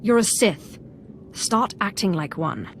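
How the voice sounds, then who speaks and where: A woman's voice: medium pitch, lively intonation, slow, slight background noise. An actress playing a proud, blunt young female Sith apprentice in a video game, with a curt, cocky delivery. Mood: scornful. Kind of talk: scripted video game dialogue.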